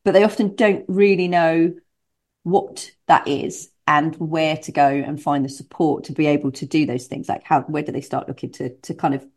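A woman's voice: sits low, steady tone, normal speed, a little mellow. A woman hosting a podcast interview, talking in an enthusiastic, chatty, rambling way. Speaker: podcast host.

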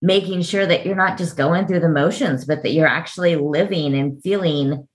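A woman's voice: low voice, normal speed, slightly rough. A woman hosting an interview podcast, introducing a guest and asking questions.

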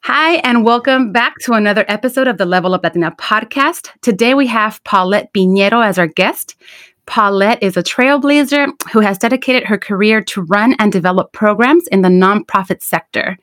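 A woman's voice: mid-range voice, normal speed, a bit bright. Woman hosting a podcast interview. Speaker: podcast host.

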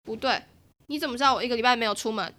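A woman voicing a sharp, sarcastic young woman who has shut herself in at home, in an audio drama. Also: a little high. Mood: indignant. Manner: sharp, quick to snap, sarcastic.

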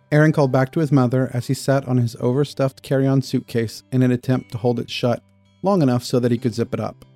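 Male story narrator, soft dark tone, middle pitch, expressive scripted read, close dry recording. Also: no room sound.

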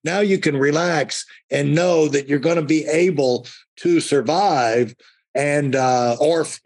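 A man hosting a conversational podcast interview, talking in a halting, rambling way and often rephrasing himself. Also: a little high, darkish tone, slightly rough.

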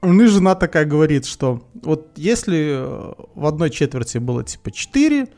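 A man's voice: fairly high pitch, sing-song, a little fast, dark tone, soft background music. A middle-aged man co-hosting a casual podcast chat, joking around and swearing freely. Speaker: podcast co-host.

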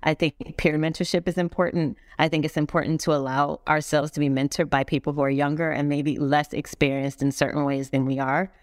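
A woman's voice: low voice, flat delivery, fairly quick, few pauses, no room sound, studio quality. A middle-aged woman guest speaking earnestly and thoughtfully in a casual interview. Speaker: guest, executive director of a foundation.

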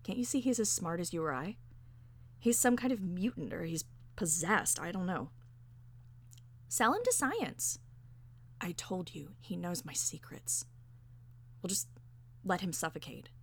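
A woman's voice: lowish voice, sing-song, measured pace, long pauses, husky, full character voice. A woman voicing a cruel, frenzied woman in a storybook tale, snapping out scornful commands. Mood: cold, scornful. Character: cruel, frenzied, bossy woman tormenting a pet bird.

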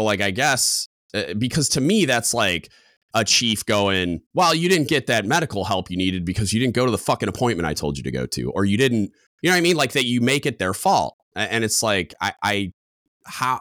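Deep booming man, dry close mic. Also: no echo. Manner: loud, comes on strong, many restarts and fillers. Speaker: podcast co-host. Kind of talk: casual discussion.

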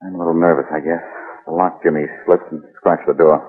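Wry radio detective, low husky voice, terse commands, muffled vintage recording. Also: male voice, fairly even intonation, fast. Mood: tense, urgent. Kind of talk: 1940s radio drama acting.

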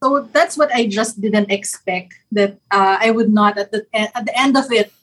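Husky woman in her forties. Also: mid-range voice, moderate pace, fairly bright tone. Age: 40s. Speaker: interview guest. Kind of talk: casual interview chat.

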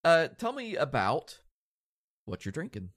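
A man hosting a casual podcast, nasal and stuffy from a cold, talking in a relaxed, rambling way after a few drinks. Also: fairly high pitch, measured pace, dry recording, studio quality. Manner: relaxed, rambling, a bit tipsy. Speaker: podcast host.

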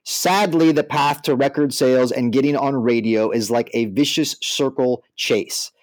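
A man with a medium-pitched voice speaks as a podcast co-host. His delivery is informal and conversational, recorded dry with little room sound. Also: moderate pace, dry recording, low-quality recording. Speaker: podcast co-host, music artist manager. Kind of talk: podcast discussion with some read-out article passages.

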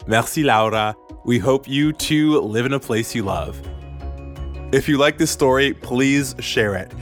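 A man hosting a podcast, reading a scripted story in a measured, clear way. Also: mid-range voice, faint music behind. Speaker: podcast host. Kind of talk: scripted narration.

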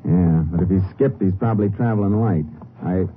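Calm, authoritative lawman, played by a male actor, very low voice, laconic, muffled old radio western. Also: brisk, slight background noise, close to an everyday voice. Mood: purposeful. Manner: laconic, matter-of-fact, authoritative. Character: calm, methodical Texas Ranger lawman, man. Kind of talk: 1950s radio western acting and narration. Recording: muffled old radio recording, faint music.

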